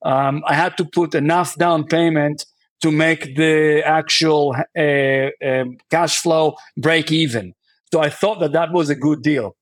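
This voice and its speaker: A man's voice: fairly high pitch, steady tone, unhurried, dry recording. A man from Israel, a guest on a podcast, answering questions in a conversational way, with many fillers and restarts. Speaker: podcast guest, real estate investor.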